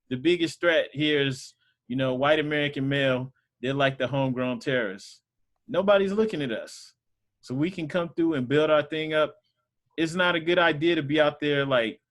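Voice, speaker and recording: A man's voice: fairly high pitch, fairly bright tone. Conversational man teaching on a call. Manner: loose and conversational, many fillers. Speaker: Sunday school teacher leading a call. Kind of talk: casual teaching on a group call.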